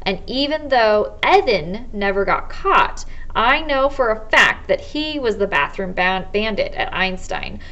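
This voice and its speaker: Young woman, American accent, teacher, measured storybook narration, mid-range pitch. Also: a bit bright, muffled recording. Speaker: teacher reading aloud. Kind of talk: children's novel read-aloud, first-person narration. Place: small indoor office or study.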